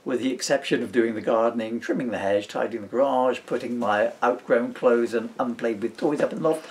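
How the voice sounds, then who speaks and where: Middle-aged British dad, playful and digressive, mid-pitched, with some background noise on a camera mic. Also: male voice, brisk, darkish tone. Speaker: father, author and stage performer. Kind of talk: casual chat and reading own comic book aloud.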